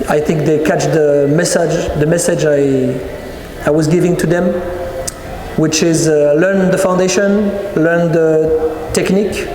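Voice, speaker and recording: A man in his thirties with a French accent, answering interview questions as a dance teacher, often pausing to search for words. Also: a little high, a little mellow, a little hiss or hum. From France. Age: 30s. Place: large hall at a dance event. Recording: echoey hall with music behind. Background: music playing.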